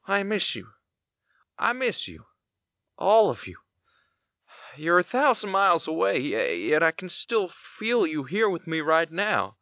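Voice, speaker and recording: Homesick, war-weary soldier father, higher voice. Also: huge pitch swings, no room sound, highs cut off, heavily acted. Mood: wistful, longing. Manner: wistful, halting, stammering delivery. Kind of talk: audio drama acting.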